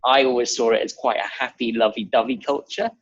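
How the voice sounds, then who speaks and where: A man's voice: mid-range voice, steady tone, brisk, breathy edge, no echo. A young British man chatting casually as a podcast guest over a video call. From England and Germany. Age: young adult. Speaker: podcast guest, real estate advisor. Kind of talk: casual conversational interview. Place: bright room at home, indoors. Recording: dull, muffled video-call audio.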